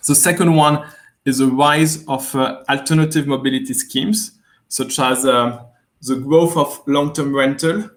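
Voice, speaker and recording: A man from France with a French accent, a guest executive speaking in an online interview, measured and hesitant, with many fillers. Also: medium pitch, fairly even intonation. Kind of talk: webinar interview.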